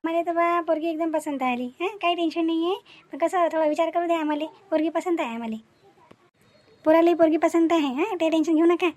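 A very high-pitched, soft-toned cartoon village mother , voiced by a woman. She is greedy and conniving, coaxing and blunt by turns in a Varhadi Marathi accent. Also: fairly even intonation, fairly quick. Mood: falsely agreeable. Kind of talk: comic cartoon dialogue.

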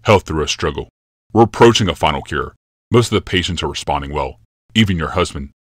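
A man playing a grave, deep-voiced emperor. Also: animated, no room sound, clean studio sound. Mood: cold. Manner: slow, solemn pronouncements. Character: grave, towering male emperor obsessed with struggle.

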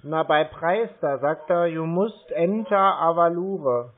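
A man on a phone call, slow and muddled, stubbornly complaining to the caller. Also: on the high side. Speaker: call recipient stringing scammers along. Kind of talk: prank phone call with scammers. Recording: tinny, band-limited phone line.

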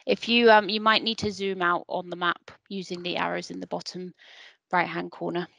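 A woman hosts an online session over a video call, encouraging people to join in. Her voice has a gravelly, creaky edge. The sound is dry and close. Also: on the low side, level tone, moderate pace, a bit bright, no echo. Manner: polite, encouraging, repeats words as she talks. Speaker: online event host. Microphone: computer mic on a video call.

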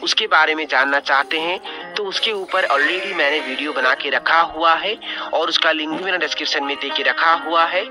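A man's voice: fairly high pitch, normal speed, over music. A man giving scripted, step-by-step instructional narration as a poultry farmer, explaining methodically and repeating his points.

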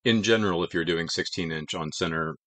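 A middle-aged man calmly explaining his house framing work outdoors on a build site, pausing often with "uh". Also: low-pitched, no echo. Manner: measured, explanatory, frequent "uh" fillers. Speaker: homestead builder. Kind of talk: casual build narration.

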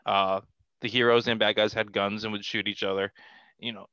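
A middle-aged man with a slightly low, bright voice talks casually as a podcast guest. His sound is dry and close on a headset, and he often restarts his phrases. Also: level tone, normal speed, no room sound, lo-fi sound. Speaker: podcast guest, comic artist. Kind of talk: casual conversational interview. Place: small cluttered room at home, video call. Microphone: headset on a video call.

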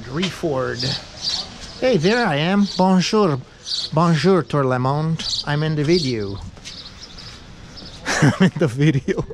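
A middle-aged man, rambling and joking self-mockingly while vlogging as he walks down a rainy city street. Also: a little high. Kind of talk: rambling walking vlog. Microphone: action camera held at arm's length. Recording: street and traffic noise.

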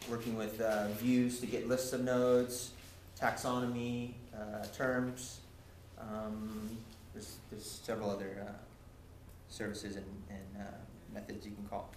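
A young man giving a casual technical talk at a meetup, explaining with many ums and restarts, recorded by a camera across a lecture room. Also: medium pitch. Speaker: presenter. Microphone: far camera. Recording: echoey room, faint hiss, from camera.

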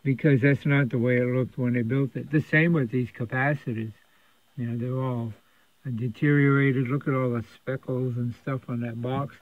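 A man's voice: medium pitch, steady tone, normal speed, no room sound. A man giving a casual, unscripted workbench narration as a repair technician, explaining in a rambling, conversational way. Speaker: radio repair technician presenting a repair video. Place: indoor electronics repair workshop. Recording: thin phone-like sound.

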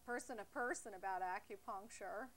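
A woman's voice: mid-range voice, moderate pace, fairly bright tone, rough recording. A woman hosting an informal interview, often reacting with exclamations and murmurs. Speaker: interview host.

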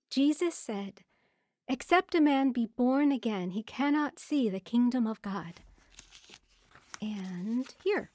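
A woman playing a kindly, devout, reassuring neighbor in a radio drama, gentle and assured. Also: on the high side, no echo, studio quality.

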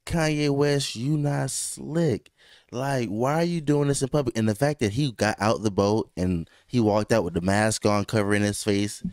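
Low-voiced joking man on a podcast. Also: dry recording, clean studio sound. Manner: loose, joking, rambling. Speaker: podcast co-host. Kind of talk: casual podcast banter. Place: small home studio, indoors.

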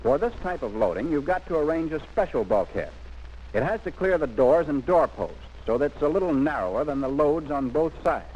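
Guy narrating an old 40s military how-to film, really animated pitch, teachy and punchy, dull noisy old audio. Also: fairly quick. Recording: muffled, noisy 1940s film soundtrack.

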